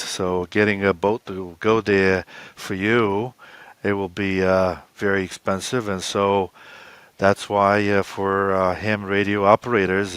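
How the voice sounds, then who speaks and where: A man with a very low voice explains things in an interview. His phrasing is halting and hesitant, and the sound is dry and close, with very little room. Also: fairly bright tone, clear, resonant tone, no room sound. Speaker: expedition co-leader, interview guest.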